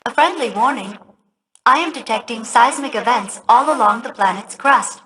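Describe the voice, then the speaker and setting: An actress playing a polite, composed mission advisor in a video game, formal and precise. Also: female voice, on the high side, normal speed, noisy background, natural voice. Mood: cautionary.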